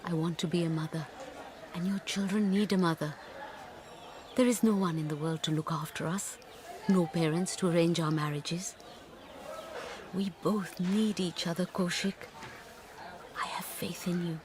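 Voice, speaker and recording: A woman's voice: fairly low pitch, steady tone, normal speed, long pauses, crowd noise, background noise, natural voice. A woman playing an earnest, lonely, world-weary and self-sacrificing woman in a radio drama, with formal, pleading phrasing. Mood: tender, pleading.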